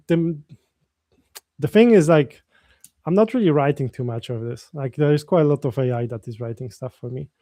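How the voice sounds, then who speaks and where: A man's voice: medium pitch, dark tone, no room sound. A man with a Polish accent, live-streaming his coding and chatting casually with viewers in a rambling way, often restarting his sentences. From Poland. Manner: rambling, many restarts, reads chat aloud.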